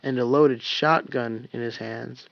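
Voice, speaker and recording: A man's voice: mid-range voice, fairly even intonation, a little slow, creaky, no echo, muffled recording. A man voicing a bored, lazy soldier who talks in a dismissive, irritable way.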